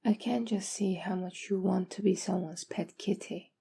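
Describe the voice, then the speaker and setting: A woman playing a possessive, coaxing owner doting on a captive pet in an intimate, often whispered ASMR roleplay, slow, teasing and condescending. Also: mid-range voice, gravelly, mellow, breathy edge, full character voice.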